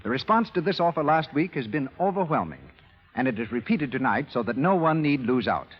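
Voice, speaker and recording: A man's voice: on the high side, animated, fairly quick, breathy edge. An American man working as a radio announcer in 1930s style, reading scripted advertising in a formal, carefully enunciated way. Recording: dull vintage 1930s radio broadcast recording, reverberant.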